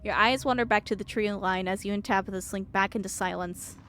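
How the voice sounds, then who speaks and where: A woman streamer reading a game aloud and reacting to it in an expressive, emotional way. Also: medium pitch, fairly quick, slight background noise.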